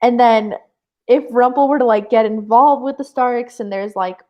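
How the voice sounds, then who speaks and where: A woman with a fairly high voice talks casually as a co-host of a live book show. She is animated and emphatic, phrasing things in a loose, chatty way with plenty of "like". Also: normal speed, lo-fi sound.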